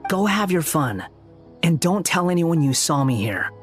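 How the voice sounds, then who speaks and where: Aloof male loner for a game fan dub , man performer: dry, curt, lightish pitch. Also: big pitch swings, moderate pace, soft background music. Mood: dry, dismissive. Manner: dry, dismissive. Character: aloof, dry, solitary young male scholar. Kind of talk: amateur fan voice-over of game dialogue.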